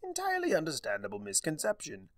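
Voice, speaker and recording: A man voicing a theatrical, sardonic, mischievous male chaos spirit with a wry, drawn-out delivery in a fan fiction reading. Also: on the high side, wildly swooping pitch, dry recording, clean studio sound, pitched above the performer's own voice. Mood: aggrieved, theatrical.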